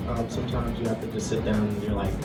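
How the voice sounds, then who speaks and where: Young guy talking casual on a panel, kinda halting, echoey room, mic far off, music going. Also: lowish voice, flat delivery. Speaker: convention panelist, art director.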